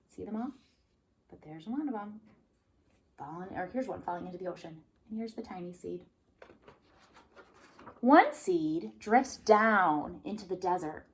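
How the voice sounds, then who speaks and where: A woman's voice: medium pitch, fairly bright tone. A woman teacher reading a picture book aloud to young children, expressively and with simple explanations.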